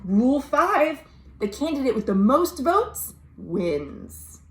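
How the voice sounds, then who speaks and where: An American woman reading a children's story aloud, expressive and dramatic, pausing to explain things simply. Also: high-pitched. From United States. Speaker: librarian, storytime host. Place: at home. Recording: roomy, echoey home recording.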